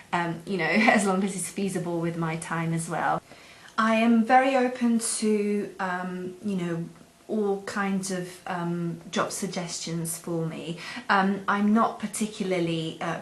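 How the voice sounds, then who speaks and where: Hesitant woman, echoey room. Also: on the low side. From Hungary. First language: Hungarian. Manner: hesitant, many ums and uhs. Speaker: job seeker. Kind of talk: self-introduction video to employers. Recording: echoey room, faint background hum.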